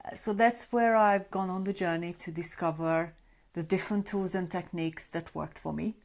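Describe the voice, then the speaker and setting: A woman talks to viewers of a live stream as a sleep coach. Her voice sits a little low and has a gravelly, fry-edged texture. She chats loosely, with many fillers, and greets viewers by name. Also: steady tone, normal speed, darkish tone. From Hungary. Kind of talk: Facebook Live talk to a group. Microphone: webcam or phone on a live stream.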